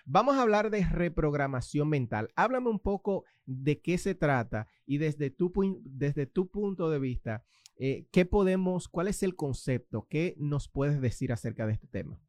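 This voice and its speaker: A man's voice: on the high side, lively intonation, a little slow, darkish tone, dry recording. A man hosting a radio talk show, upbeat as he reads out the call-in phone number and introduces his guest.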